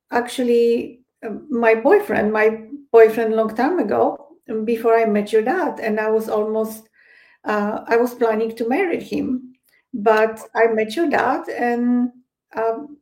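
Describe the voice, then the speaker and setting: A woman with a Polish accent talks in a halting, casual interview manner, often pausing on fillers and restarting her sentences. Her voice sits a little higher than most women's. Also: darkish tone. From Poland. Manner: hesitant, many fillers and restarts. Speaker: guest psychic medium.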